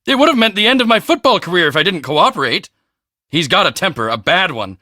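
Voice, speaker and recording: A man voicing a cocky, boastful teenage high school football star in a video game, with a swaggering, emphatic, self-important delivery. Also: sing-song, quick pace, bright, forceful tone, no room sound, studio quality, heavily acted. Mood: uneasy, confessing. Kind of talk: video game character dialogue.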